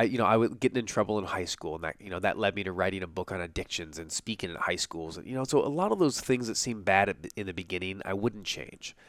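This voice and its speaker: A man in his thirties hosting a podcast, telling a personal story with a stuffy nose and a tiring voice, halting with restarts and fillers. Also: low-pitched, fairly bright tone, dry recording. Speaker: podcast host.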